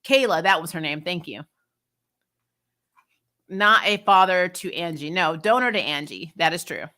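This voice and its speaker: A woman giving a casual, frank, salty and animated spoken review as the host of a recap show. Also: fairly low pitch, moderate pace. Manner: animated storytelling, laughs often, frank and salty. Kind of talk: casual chatty episode review, reacting to live comments.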